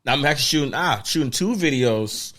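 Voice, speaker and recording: A young man from Maryland, a musician, chatting casually in an interview with a relaxed manner and frequent laughs. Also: mid-range voice, a little fast. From Maryland, USA. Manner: laid-back, laughs often, loose conversational phrasing. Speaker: musician guest.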